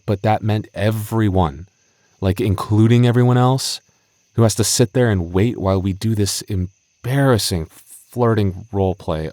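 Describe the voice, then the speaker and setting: A low-pitched, exasperated young dungeon master played by a man, the tsundere childhood friend of an audio roleplay. He sounds put-upon and hesitant, speaking in a distinctly deep register. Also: mellow, dry recording, clean studio sound, natural voice. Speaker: game master. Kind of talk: ASMR audio roleplay acting.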